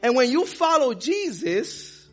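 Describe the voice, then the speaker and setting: A man's voice: very high voice, big pitch swings, slow pace, faint music behind. A man from the Dominican Republic preaching a sermon with fervor, weaving in personal stories. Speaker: pastor.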